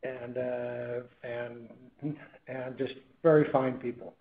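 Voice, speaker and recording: American exec guy on a phone call, echoey n tinny, kinda halting, keeps restarting himself. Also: medium pitch, completely flat delivery. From New England, United States. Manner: halting, self-correcting, with repeated restarts. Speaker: company chief executive. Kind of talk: unscripted earnings call commentary. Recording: tinny phone line with room echo.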